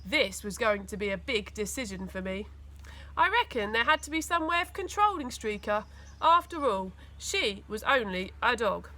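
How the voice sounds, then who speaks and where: Woman, bright forceful voice, very animated children's narration, faint background noise. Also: medium pitch, moderate pace. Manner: animated, dramatic storytelling. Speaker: school teaching assistant reading aloud. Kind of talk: expressive children's storybook narration.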